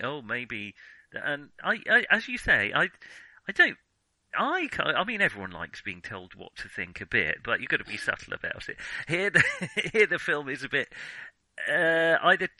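A man with a very bright, forceful voice in a dry, close recording. He co-hosts a casual film chat, feeling his way through opinions with hedges and sentences that often restart halfway. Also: medium pitch, no echo, poor audio. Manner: halting, hedging, often restarts sentences mid-thought. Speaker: podcast co-host.

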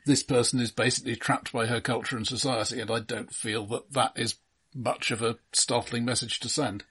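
A man's voice: medium pitch, fairly even intonation, fairly quick, fairly bright tone, husky. A man in a casual film discussion, talking in a dry, wry, analytical way. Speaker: film podcast co-host.

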